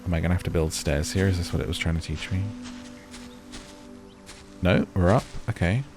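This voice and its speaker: A man's voice: deep voice, steady tone, brisk, over music. A man doing casual gaming commentary, chatting and musing to himself and to viewers as he plays and reads out on-screen text. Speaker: gaming video host.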